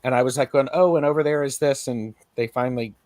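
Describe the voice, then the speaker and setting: A man's voice: mid-range voice, fast, a little mellow. Chatty man telling stories. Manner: chatty, storytelling with acted asides. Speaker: co-host, tarot reader. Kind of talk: casual chat.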